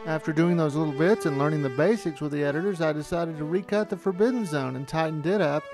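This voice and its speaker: A man's voice: fairly high pitch, fast talker, music in the background. A middle-aged American man narrating a look back at his amateur filmmaking. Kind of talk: retrospective narration to camera.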